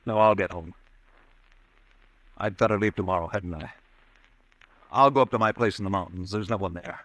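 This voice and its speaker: An actor playing an anxious, haunted businessman in 1940s radio suspense style, agitated and halting in his speech. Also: sits low, a bit bright, no room sound, rough recording. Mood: pleading, troubled. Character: haunted, anxious businessman fearing he is going mad. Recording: dry vintage radio drama recording.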